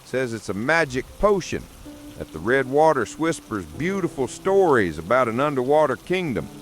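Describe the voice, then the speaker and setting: An actor playing an earnest, wary middle-aged small-town sheriff, reporting gravely in a scripted radio drama. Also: male voice, medium pitch, sing-song, normal speed, natural voice. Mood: measured, uneasy.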